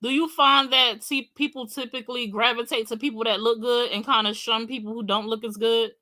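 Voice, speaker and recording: Bright-voiced woman quizzing the chat. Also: on the high side, steady tone, brisk. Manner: inquisitive, poses questions to the chat. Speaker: livestream co-host reading comments. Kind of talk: casual live-stream chat.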